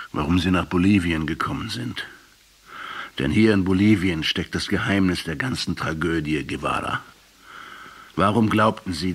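A man's voice: sits low, breathy edge. A male actor in a radio drama playing a troubled, insistent intellectual who questions and challenges. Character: troubled Argentine intellectual interrogating a revolutionary, also arguing as Fidel. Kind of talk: radio drama acting and narration.

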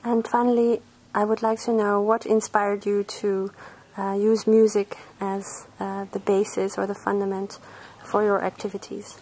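A woman interviewer puts short, polite questions to her guest. Her delivery stays flat and even, with little rise or fall. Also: mid-range voice, moderate pace, husky.

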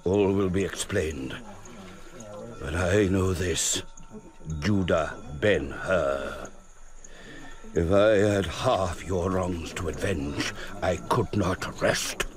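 Proud, slow-spoken old sheikh. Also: male voice, low voice. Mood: fervent. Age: older. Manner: declamatory, proud, emphatic, with swooping outbursts. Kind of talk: theatrical radio drama acting.

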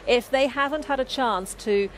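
A woman's voice: high voice, moderate pace, slight background noise. A woman in her thirties, a news presenter pressing a guest with persistent questions outdoors. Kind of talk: live news interview. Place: outdoors by a busy street.

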